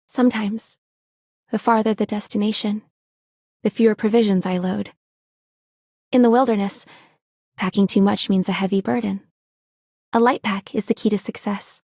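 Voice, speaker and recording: An actress playing a seasoned traveler in a video game, calm, grave and pensive. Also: female voice, mid-range voice, normal speed, pauses often, no echo, studio quality, lightly acted. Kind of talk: video game voice line acting.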